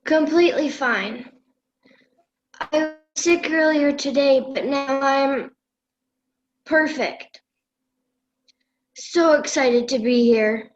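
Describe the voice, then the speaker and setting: A woman's voice: high voice, takes it slowly, long pauses. A teenage girl playing an ailing latecomer who insists she is fine, in a stage comedy on lo-fi call audio. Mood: forced cheer. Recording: dull lo-fi video-call audio.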